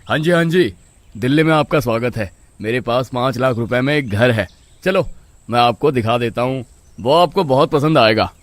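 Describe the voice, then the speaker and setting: A man's voice: medium pitch, expressive, moderate pace, no room sound, natural voice. A man playing a sly, scheming property dealer in a horror story, muttering and ingratiating like a salesman. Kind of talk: dramatised Hindi horror story.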